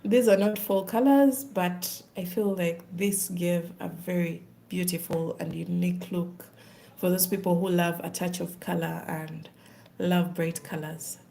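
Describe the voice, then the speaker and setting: A woman's voice: on the low side, fairly even intonation, measured pace, creaky, dark tone. A woman with a Ugandan accent, chatting casually on a live stream while she does craft work.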